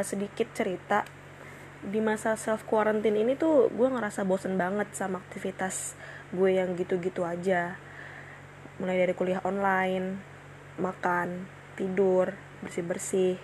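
A woman's voice: mid-range voice, level tone, measured pace, long pauses, darkish tone, slight background noise, low-quality recording. A woman in her twenties hosting her own casual solo podcast, chatting in slang with many fillers and restarts. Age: 20s. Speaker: podcast host.

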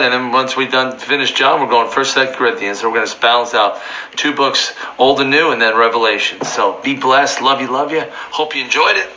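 A slightly hoarse man teaching from the Bible informally, fervent and building to near-shouting emphasis. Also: mid-range voice, a little hiss or hum, rough recording.

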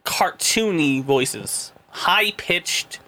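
A man's voice: fairly high pitch, animated, drawn-out words, gravelly, pushed and bright. A man co-hosting a podcast, chatting casually and playfully, laughing often. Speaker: podcast co-host. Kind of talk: casual comedic chat.

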